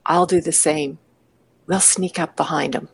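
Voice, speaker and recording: A woman's voice: low-pitched, fairly even intonation, unhurried, creaky, breathy edge, exaggerated voice. A woman playing a bold, practical, scheming partner in crime in an audio drama, talking in a conversational, conspiratorial way. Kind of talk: amateur audio drama dialogue.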